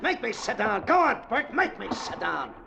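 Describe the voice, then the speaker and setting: An actor playing a brutal, hot-tempered convict thug in a 1940s radio drama, with a gravelly, snarling, taunting delivery. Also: male voice, sits high, sing-song, normal speed, full character voice. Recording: hissy old radio broadcast.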